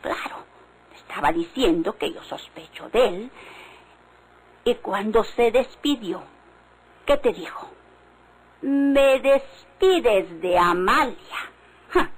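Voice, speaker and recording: Hard mexican wife in an old radio soap, slow husky voice, suspicious, accusing. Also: female voice, mid-range voice, low-quality recording. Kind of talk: vintage radio drama acting.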